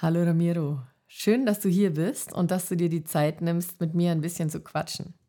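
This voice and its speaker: Mellow, low-voiced woman hosting an interview. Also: steady tone, normal speed. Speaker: podcast host and interviewer. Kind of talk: casual interview chat.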